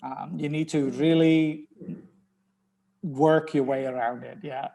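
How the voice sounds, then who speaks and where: A man from the Netherlands with a Dutch accent, speaking as a research guest in a thoughtful academic conversation, pausing often with ums and restarts. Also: on the high side, fairly even intonation, gravelly, warm tone.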